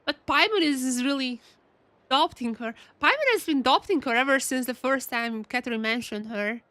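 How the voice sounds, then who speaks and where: High-voiced, bright woman streamer. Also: a little fast, dry recording. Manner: chatty, excitable, repeats phrases. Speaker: video game streamer. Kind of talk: casual live gameplay commentary with reactions. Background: game music.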